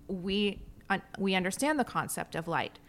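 A woman's voice: mid-range voice, expressive, normal speed. Open-minded woman co-host. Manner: open-minded, explaining with examples. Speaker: podcast co-host. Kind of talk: casual chat.

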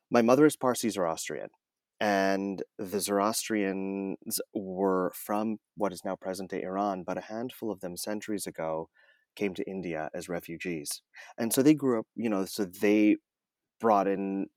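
A man's voice: fairly low pitch, no room sound, studio quality. A man speaking as an interview guest, an audiobook narrator talking conversationally and enthusiastically, stretching words for emphasis.

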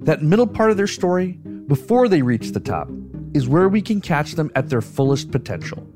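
Brief: male host, scripted narration, wry tone, fairly high voice, clear guitar music underneath. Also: very animated, normal speed. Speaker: podcast host.

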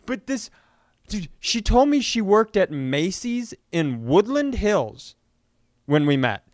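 Male comedy co-host with a clear, fairly high voice, casual and confessional, poking fun at himself. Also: sing-song, takes it slowly, no room sound, clean studio sound. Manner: self-deprecating, confessional. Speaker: podcast co-host.

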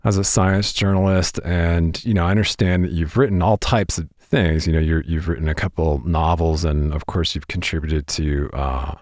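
A man with a very deep voice hosts a podcast interview, asking long, enthusiastic questions full of fillers. The recording is dry and close, with no room sound. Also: fairly even intonation, moderate pace, few pauses, a little mellow, no room sound. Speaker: podcast host.

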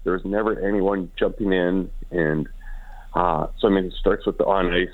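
Raspy low-voiced man on a phone-like line. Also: flat delivery. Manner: halting, repeats words as he gathers his thoughts. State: hoarse and short of sleep after celebrating. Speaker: interview guest, hockey team co-owner and businessman. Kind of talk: casual radio interview. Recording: dull, phone-like band-limited line.

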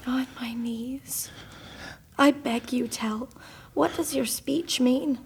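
A woman playing a gentle, loyal, bewildered noble wife, pleading in a theatrical Shakespeare audio drama. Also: high voice, slight background noise. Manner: pleading, measured.

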